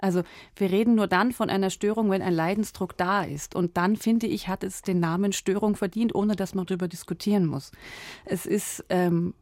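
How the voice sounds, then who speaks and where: A woman's voice: medium pitch, steady tone, normal speed, a little mellow, no room sound. A woman psychotherapist answering as a radio studio guest, direct and explanatory, often restarting her phrases.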